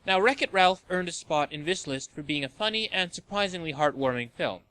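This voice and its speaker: Male list-show narrator: tongue-in-cheek, forceful bright tone, very animated delivery. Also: a little high, fairly quick. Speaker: host of a video game and movie show.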